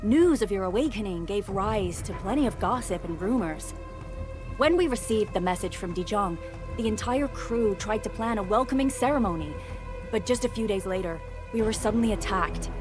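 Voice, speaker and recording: A woman voicing a dutiful base director in a video game, polite, formal and expressive, with music behind her. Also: medium pitch, normal speed, lightly acted. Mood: apologetic, explanatory.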